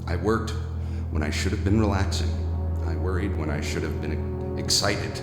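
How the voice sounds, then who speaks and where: An actor playing a haunted, remorseful business tycoon in an audio horror drama, with a brooding, deliberate delivery. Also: male voice, low voice, natural voice. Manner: brooding, deliberate, halting when shaken. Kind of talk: dramatic first-person horror narration and dialogue. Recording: loud music under the voice. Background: dramatic music.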